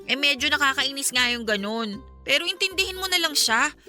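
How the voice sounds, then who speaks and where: A young woman in a radio drama, with a high, very bright voice. She comes across as a caring confidante, with music under her. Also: fairly quick. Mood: consoling. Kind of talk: Filipino radio drama acting.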